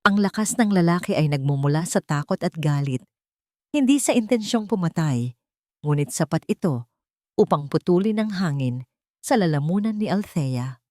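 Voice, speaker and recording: A woman narrating a scripted love story in a measured, solemn, dramatic manner. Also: fairly low pitch, animated, dark tone, no room sound, clean studio sound.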